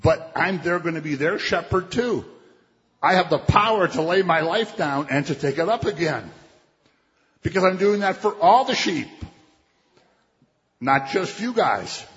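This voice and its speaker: Male preacher, slightly high voice, conversational delivery; long hall reverb. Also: animated, measured pace, long pauses, fairly bright tone. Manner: conversational preaching, quotes scripture, acts out voices. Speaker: pastor. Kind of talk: sermon. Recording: dull, muffled sound with long hall reverb.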